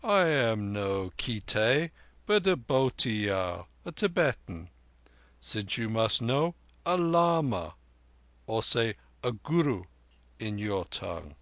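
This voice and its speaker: An aged Tibetan lama, performed by a man, speaks slowly and gently. His phrasing is solemn and archaic, a weary wandering holy man from a storybook. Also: lowish voice, sing-song, no echo, heavily acted. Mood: gentle, dignified.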